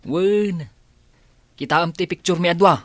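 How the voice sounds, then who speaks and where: A woman's voice: on the low side, measured pace, no room sound, close to an everyday voice. A woman with an Ilocano accent playing a respectful staff member in a radio drama. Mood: gossipy.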